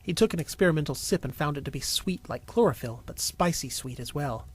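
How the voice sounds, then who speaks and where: A man reading scripted story narration as a storyteller, in an expressive way. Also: on the high side, a little fast, breathy edge. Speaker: narrator and voice actor.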